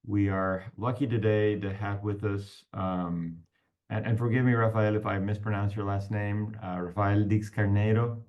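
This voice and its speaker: A man's voice: on the low side, steady tone, normal speed, highs cut off. A man moderating a class session, introducing a guest speaker over a video call with many fillers. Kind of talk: formal introduction.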